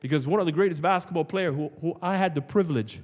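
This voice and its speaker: A man with a slightly high voice preaches a sermon as a pastor. He is emphatic and rhetorical, repeating phrases for weight. His words ring with long reverb in a big church hall. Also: fast talker, a little mellow. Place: large church hall, before a congregation.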